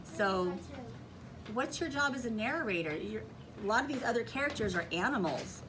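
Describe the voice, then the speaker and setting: A woman's voice: fairly high pitch, expressive, moderate pace, distant mic, a crowd in the background. A woman teacher giving classroom instructions and often checking understanding with questions. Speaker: elementary school teacher. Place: indoor school classroom. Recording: noisy classroom with clear background noise.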